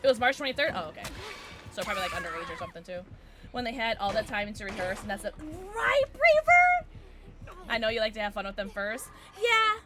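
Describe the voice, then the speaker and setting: A woman streamer with a low alto voice, chatting casually with her viewers while she plays, sarcastic and quick to break into squeals and laughs. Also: big pitch swings, very noisy. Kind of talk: casual livestream chat while gaming.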